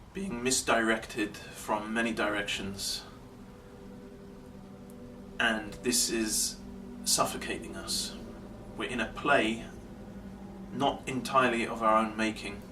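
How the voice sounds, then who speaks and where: A man delivering a deliberate, emphatic monologue of social commentary, pausing between phrases. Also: on the low side. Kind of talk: slow rhetorical monologue. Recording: distant mic, clearly noisy background.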